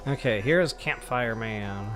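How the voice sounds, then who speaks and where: A man's voice: mid-range voice, slow pace, over music. A man doing casual live gameplay commentary as a streamer, rambling along with folksy slang. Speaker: game streamer.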